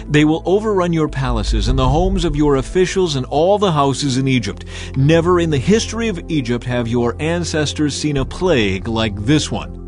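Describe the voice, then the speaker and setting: A man's voice: fairly high pitch, lively intonation, normal speed, faint music behind, pitched above the performer's own voice, natural voice. A man voicing a bold, unyielding prophet who proclaims firmly in a scripture audiobook. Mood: warning. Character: Moses, bold, unyielding male Hebrew prophet.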